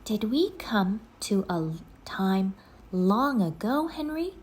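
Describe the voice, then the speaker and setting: A woman voicing an eager, trusting young girl in a children's storybook, with an excitable, emphatic manner. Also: medium pitch, slow, voice pushed up. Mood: curious, tender. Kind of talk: children's storybook read-aloud.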